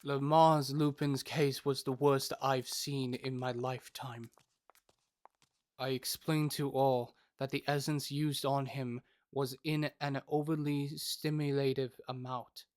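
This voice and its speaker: Man acting a slow, grave, level-toned doctor. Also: mid-range voice, flat delivery, darkish tone, dry recording, natural voice. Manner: measured, formal. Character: grave male doctor recounting a troubling case. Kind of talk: dramatic first-person narration, audio drama.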